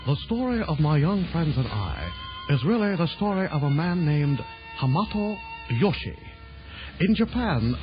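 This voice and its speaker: An actor playing a gentle old rat sensei who tells stories in a measured, formal way in a children's audio drama. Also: male voice, on the high side, highs cut off.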